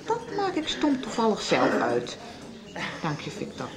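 Brazen, mocking blackmailer, swooping intonation. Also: female voice, mid-range voice, wildly swooping pitch, a little slow, a crowd in the background. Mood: flippant, defiant. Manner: taunting, flippant, sardonic. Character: brazen, scheming woman blackmailer, mocking, hard-drinking. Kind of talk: radio soap dialogue.

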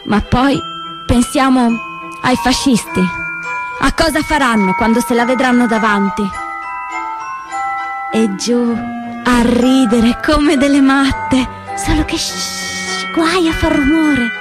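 An actress playing a spirited, cheeky teenage partisan girl, telling her story in an animated, dramatic stage monologue. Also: sits high, slow, long pauses, over music. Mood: giddy, excited. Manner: expressive, dramatic phrasing, shifts between voices.

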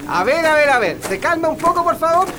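An actor with a Chilean accent playing a curt, impatient military guard at a barracks gate in a radio drama. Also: male voice, extremely high pitch, sing-song, brisk, noisy background. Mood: impatient, dismissive. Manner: bossy, impatient.

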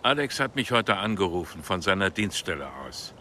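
Courteous pastor: lowish, animated intonation, radio drama. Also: male voice, a little fast, a little hiss or hum.

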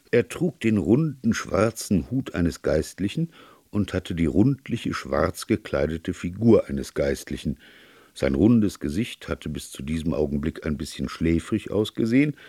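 A man's voice: low-pitched, darkish tone, slightly rough, dry recording, clean studio sound. A man reading a literary detective story as narrator in a scripted audiobook style.

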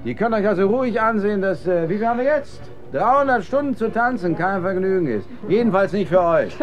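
A man's voice: high-pitched, animated, a little fast. A man acting in a German radio drama as a brash, cynical dance marathon emcee, haranguing callously like a showman. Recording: band-limited, dull sound under music. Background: clear music.

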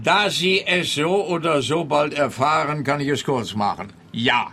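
An actor playing an officious, exasperated police officer in a radio comedy, with a pompous, lecturing manner. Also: male voice, fairly high pitch, unhurried, pushed and bright, a little hiss or hum. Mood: brusque.

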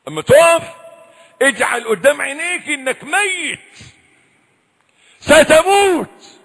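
A high-voiced preacher whose pitch leaps and falls as he pleads, cries out, then drops to a hushed warning. His tone is bright and forceful. His voice rings through a reverberant hall. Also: big pitch swings, a little slow. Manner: impassioned, swings between loud cries and hushed low warnings. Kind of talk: sermon. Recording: echoey hall with long reverb.

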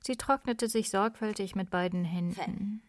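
A woman's voice: medium pitch, moderate pace, no room sound, studio quality. A woman narrating a radio play in scripted literary prose.

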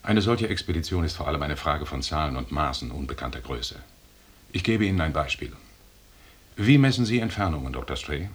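A stern male expedition commander in a radio drama, coolly condescending and formal. His deep voice is husky, and he lectures in measured phrases. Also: lively intonation, heavily acted. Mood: lecturing. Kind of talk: 1980s German radio drama acting.

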